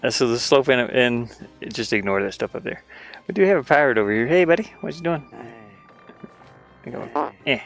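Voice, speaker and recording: A man giving casual, easygoing devlog commentary as an indie game developer, halting and thinking out loud while he works. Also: medium pitch, lively intonation, faint music behind. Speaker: indie game developer showing his own game.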